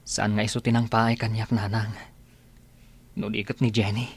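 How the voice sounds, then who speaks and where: An actor playing a meek, lovesick young village suitor with a husky voice in a radio drama. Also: male voice, fairly low pitch, little pitch movement, moderate pace. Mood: dejected. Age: young adult.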